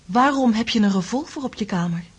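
Very husky, affectionate female lover. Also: fairly high pitch, expressive, fairly quick, soft background music. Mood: questioning, concerned. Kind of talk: radio play acting.

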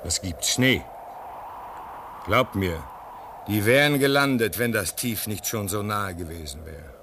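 A man's voice: on the low side, lively intonation, measured pace. A man playing a practical, urgent younger man at a remote Arctic station in an old radio drama. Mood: tense. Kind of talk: 1950s German radio drama acting. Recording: old radio recording with noise.

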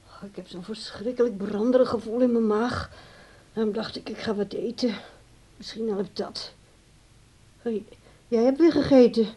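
An actress playing an insistent, coaxing grown daughter in a radio drama. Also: female voice, on the high side, moderate pace, long pauses, warm tone, slightly rough. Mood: suspicious, complaining. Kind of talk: radio drama dialogue and diary reading.

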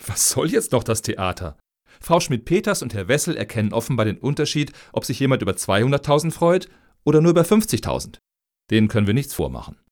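A man's voice: mid-range voice, big pitch swings, fast, a little mellow. A man acting in a radio satire as a blunt, cynical grant applicant with a dry, sarcastic manner. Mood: blunt, defiant.

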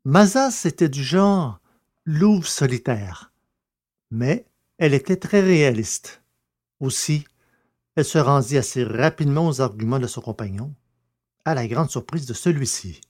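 A male narrator reads a scripted science fiction audio drama. His voice sits at a medium pitch, and the recording is dry with no room sound. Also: animated, measured pace, a little mellow, no room sound.

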